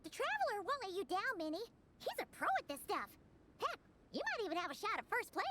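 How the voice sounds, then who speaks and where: An actress voicing a tiny, chirpy, boastful fairy-like companion in a cartoonish video game, squeaky and excitable. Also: female voice, fast talker, recorded from a distance, noisy background, exaggerated voice. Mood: cheery, confident. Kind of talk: cartoonish anime game voice acting.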